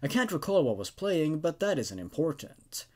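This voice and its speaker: Expressive man reading and reviewing horror stories. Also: fairly high pitch, moderate pace. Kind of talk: dramatic story reading and casual review commentary.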